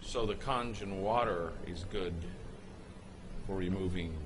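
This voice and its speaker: Low-voiced guy talking slow over a video, lots of pauses, noisy hiss behind. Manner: slow, halting explanation with pauses and restarts. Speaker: narrator explaining a product video. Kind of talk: unscripted voice-over commentary.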